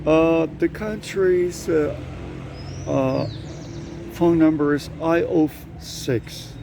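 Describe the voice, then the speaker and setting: Slow, gravelly male interviewer. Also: a little high, background noise. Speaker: interviewer, host. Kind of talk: casual interview questions.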